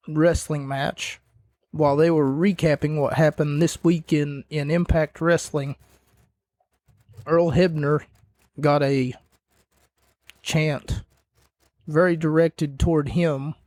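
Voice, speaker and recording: A man hosting a podcast, casually recapping wrestling matches in a rambling way with sarcastic asides, his voice starting to go hoarse. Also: fairly high pitch, a little mellow, no room sound.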